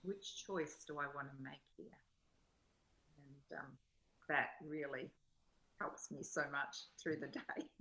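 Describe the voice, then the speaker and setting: A woman's voice: low voice, takes it slowly, gravelly, long pauses, pushed and bright, rough recording. A woman in a spiritual study group, sharing reflectively and reading aloud in an earnest, introspective way.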